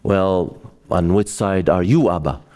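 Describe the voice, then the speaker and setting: A man's voice: sits low, mellow, pitched below the performer's own voice. A man voicing a hesitant fifteen-year-old boy who stammers and trails off, in a solo comedy piece. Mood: questioning. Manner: halting and unsure, trailing off.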